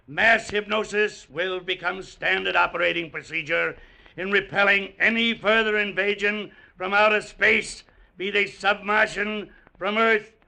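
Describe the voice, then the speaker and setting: An actor playing a pompous Martian commander, making formal, declamatory speeches in an old-time radio drama. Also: male voice, high voice, gravelly, bright, forceful tone, full character voice, poor audio. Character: pompous Martian commander addressing his victorious troops.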